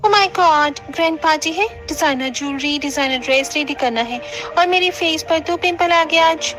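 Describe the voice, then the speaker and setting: A woman's voice: sits high, normal speed, fairly bright tone, soft background music, low-quality recording. A woman playing a vain, showy young modern daughter-in-law in a family cartoon. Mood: fussy, boastful. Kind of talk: Hindi cartoon family drama dialogue.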